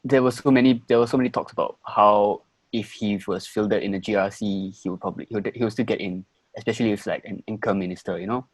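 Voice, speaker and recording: A young Singaporean man with a fairly low voice talks in a conversational discussion style. The sound is dry and close, with little room to it. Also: steady tone, quick pace, husky, no room sound, rough recording. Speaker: first-time voter on a discussion panel.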